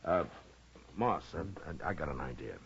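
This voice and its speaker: An actor playing a calm, fatherly frontier marshal who speaks in a measured, gently hesitant way, in old western radio drama style. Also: rumbling low, husky, full character voice. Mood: gentle, reassuring. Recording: muffled old radio recording with hiss.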